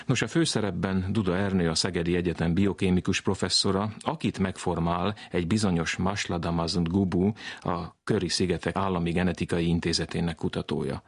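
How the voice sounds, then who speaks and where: Man, announcer, very low pitch, raspy texture, scripted introduction, dry close sound. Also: dry recording.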